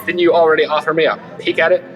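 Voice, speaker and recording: An actor playing a brash, wisecracking celebrity-news reporter in a glib, cocky manner for a comedic audio drama. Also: male voice, on the high side, steady tone, rapid-fire, in a large hall, highs cut off, natural voice. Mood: cocky, sparring. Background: music.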